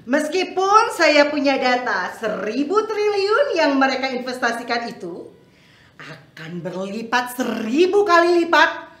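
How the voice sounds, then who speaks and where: Woman as assertive political schemer, sharp forceful voice, animated pitch, roomy recording. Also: measured pace, full character voice. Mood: self-important. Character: combative, self-important political schemer. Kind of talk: theatrical dramatic reading. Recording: echoey room.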